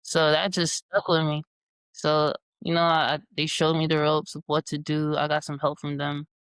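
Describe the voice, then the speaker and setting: Relaxed young male guest in his twenties, low-key and smooth-toned. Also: moderate pace, no room sound. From Jamaica and New York. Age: 20s. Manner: relaxed, easygoing, with casual fillers. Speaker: guest scientist. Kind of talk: casual interview answers.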